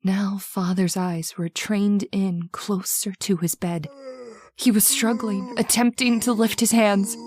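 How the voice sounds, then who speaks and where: A woman's voice: medium pitch, fairly even intonation, takes it slowly, darkish tone, slightly rough, dry recording, studio quality. A woman playing an anxious, frightened daughter in an old-time radio horror drama, with dramatic, earnest delivery. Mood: tense recounting. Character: anxious, frightened daughter recounting her father's haunting.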